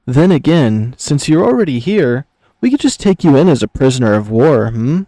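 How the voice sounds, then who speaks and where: A man's voice: medium pitch, very animated, normal speed, warm tone, dry recording. A man voicing a seductive, mocking lioness chieftain in a visual novel, with a teasing, courtly manner. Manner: teasing, coaxing, theatrically courtly. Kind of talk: visual novel character reading.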